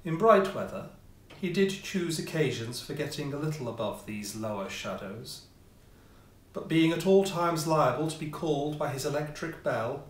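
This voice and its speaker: A man reading a classic ghost story as scripted narration, with measured, theatrical phrasing and pauses. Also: fairly high pitch. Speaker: audiobook reader, amateur player. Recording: roomy echo, distant mic, faint noise.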